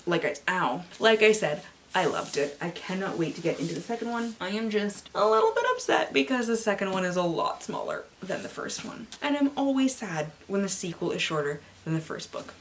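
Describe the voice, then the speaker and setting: A woman's voice: mid-range voice, very animated, fairly quick, slight background noise. A woman hosting a book vlog, chatty and playful, with theatrical swings and joking asides to herself. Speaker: book vlogger and channel host. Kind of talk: casual chatty book haul vlog with hammed-up dramatic blurb readings.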